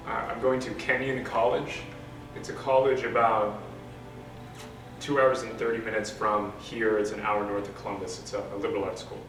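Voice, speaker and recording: A young man, a high school senior, giving informal answers in an interview. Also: flat delivery, normal speed. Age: young adult. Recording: roomy, far from mic, music behind.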